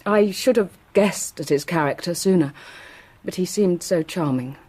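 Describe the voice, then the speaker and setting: A woman's voice: on the low side, normal speed, mellow, slightly rough. A woman playing a refined, vulnerable young lady in a period radio drama, composed and rueful. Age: young adult.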